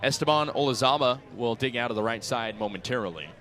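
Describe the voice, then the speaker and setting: A man in his twenties calling baseball play-by-play for radio in crisp, clipped phrases that lift on the action. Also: medium pitch, quick pace, music in the background. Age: 20s. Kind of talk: live radio sports commentary. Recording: outdoor ballpark crowd behind voice. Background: ballpark crowd.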